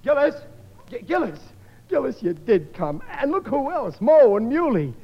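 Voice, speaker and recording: A blustering middle-aged working-class father in an old radio comedy. His high voice swings widely as he stammers through ungrammatical, bewildered sentences. The sound is muffled, like a vintage broadcast. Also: sing-song, normal speed, husky, exaggerated voice. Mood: hurt, bewildered. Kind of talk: 1950s radio comedy acting.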